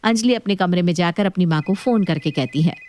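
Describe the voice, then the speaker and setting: A woman's voice: lowish voice, a little fast, a little mellow, dry recording. A woman narrating a scripted domestic tale as storyteller.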